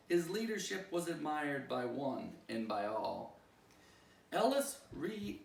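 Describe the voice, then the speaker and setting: A man reading a rhyming children's picture book aloud like a school principal at story time, with expressive, singsong phrasing. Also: a little high, vocal fry. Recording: roomy echo, distant microphone.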